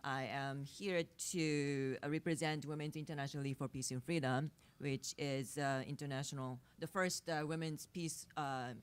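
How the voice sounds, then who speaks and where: Soft-spoken low-voiced Japanese woman. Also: steady tone, moderate pace, hardly any pauses, clear, resonant tone. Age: 50s. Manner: hesitant, many fillers and restarts, soft-spoken with nervous laughs. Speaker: panelist at a press conference. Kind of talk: unscripted press conference remarks. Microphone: podium microphone.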